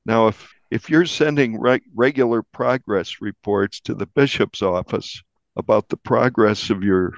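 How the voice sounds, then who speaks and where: A man speaking as a journalist guest, explaining deliberately in an interview and restating points for emphasis. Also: on the low side, no echo, muffled recording.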